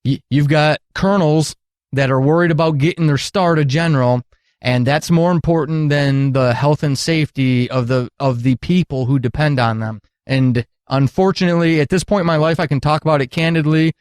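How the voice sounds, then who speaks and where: Middle-aged man, slightly high pitch, earnest and emphatic, candid interview storytelling, dry sound. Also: normal speed, a little mellow, clean tone, dry recording. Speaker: podcast guest, retired military counselor.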